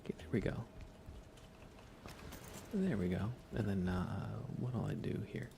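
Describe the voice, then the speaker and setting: A soft-spoken man doing casual ASMR game commentary on a live stream, often slipping into whispers. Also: lowish voice, sing-song, unhurried. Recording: clear background noise.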